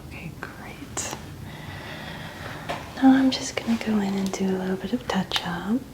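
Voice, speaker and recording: A woman giving gentle, slow ASMR instructions as a makeup artist, speaking in a soft, breathy whisper. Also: medium pitch, noisy background.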